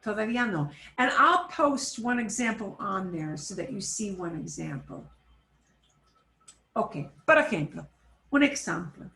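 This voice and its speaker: A woman teaching a Spanish class over a video call, switching between Spanish and English and repeating phrases slowly. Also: medium pitch, lively intonation, sharp tone, reverberant. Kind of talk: online class instruction.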